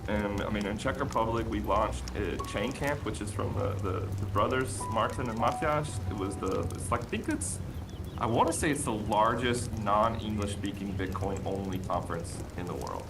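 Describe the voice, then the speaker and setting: A man's voice: fairly low pitch, normal speed, over music. An American man chatting casually on a podcast, talking with enthusiasm, full of fillers and repeated phrases. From United States. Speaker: guest, app promoter. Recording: noisy background.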